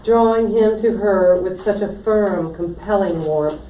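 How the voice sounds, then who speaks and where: A woman's voice: mid-range voice, measured pace, warm tone. A woman reading a poem aloud at a live poetry reading. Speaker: poetry reader. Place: indoor library poetry reading. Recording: echoey room, distant mic, faint noise.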